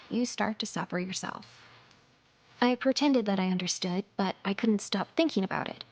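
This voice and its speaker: A woman's voice: fairly high pitch, lightly acted. An actress voicing a young hacker revolutionary in a video game cutscene, confiding and dryly sardonic, with swearing in her speech. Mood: regretful, guarded.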